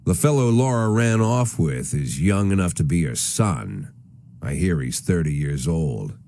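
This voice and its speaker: An actor playing a bitter, aging police chief who narrates in a world-weary, sardonic, deliberate way for a noir game. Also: male voice, sits low, very animated, background noise, heavily acted. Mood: weary, wry. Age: older.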